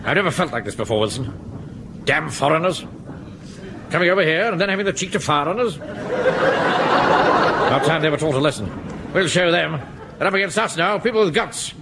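An actor playing a pompous, exasperated middle-aged Home Guard captain in a British sitcom, with a clipped, commanding manner and an English accent. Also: male voice, a little high, sing-song, moderate pace, vocal fry, long pauses. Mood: indignant. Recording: noisy old radio comedy recording.

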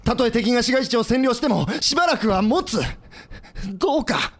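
A man's voice: very high voice, animated, normal speed, fairly bright tone, heavily acted. A male actor playing an earnest, polite and idealistic rebel army leader in a historical audio drama. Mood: pleading.

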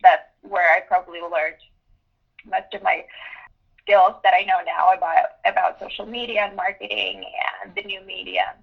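A woman in her twenties, from Ukraine, answering interview questions with many pauses and restarts. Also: medium pitch, steady tone, creaky, slightly rough, phone-quality sound. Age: 20s. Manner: thoughtful, with many ums and restarts. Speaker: guest journalist.

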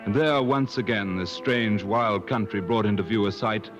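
An actor playing an earnest gentleman adventurer who also narrates, in 1950s radio drama style. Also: on the low side, moderate pace, natural voice. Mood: solemn narrating. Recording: muffled old 1950s radio broadcast, music.

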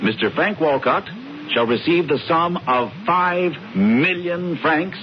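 An actor playing a brisk, smugly amused man in a radio drama, teasing and declaiming grandly. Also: fairly high pitch. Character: brisk, theatrical American man bossing his brother, smugly amused. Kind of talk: 1970s radio drama acting. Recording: dull, noisy old radio broadcast.

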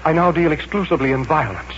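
A man's voice: on the high side, fast, lightly acted. A man playing a doomed, fearful, bitter artist in a 1940s radio drama, with an agitated, fatalistic delivery. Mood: bitter, resigned. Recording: muffled, noisy 1940s radio recording with hum.

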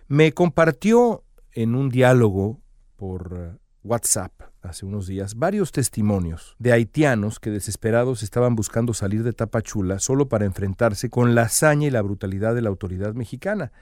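A man with a fairly low voice delivers scripted news commentary, earnest and emphatic, pressing on key phrases. The sound is dry and close, with no room around him. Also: expressive, moderate pace, darkish tone, no room sound.

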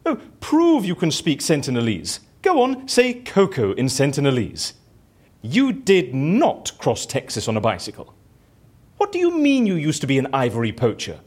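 A man's voice: on the high side, huge pitch swings, normal speed, darkish tone, husky, exaggerated voice. A man acting in an audio drama as a bored, boastful, theatrical raconteur who talks chattily and self-importantly. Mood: confiding, indignant. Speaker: lead character in audio fiction.

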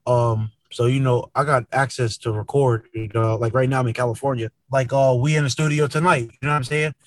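A man's voice: medium pitch, fairly even intonation, brisk, no room sound. A man talking casually as an interview guest, using lots of slang and tags like "man" and "you know what I'm saying".

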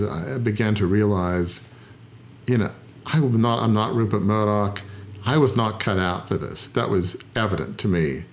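Thoughtful low-voiced middle-aged man. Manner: thoughtful, self-deprecating, frequent "uh" pauses. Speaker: founder and interview guest. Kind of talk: reflective interview conversation.

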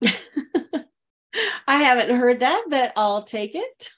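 A woman's voice: fairly high pitch, very animated, slow pace. A middle-aged woman from Oklahoma, a guest telling her story animatedly and acting out the voices she quotes. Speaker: podcast guest, leadership coach. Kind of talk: storytelling interview.

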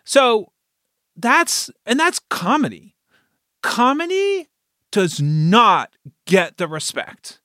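A man interviewing in a casual, animated way, thinking aloud and checking in with his listener as he goes. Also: high-pitched, slow, no room sound, clean studio sound. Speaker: podcast interviewer and show founder.

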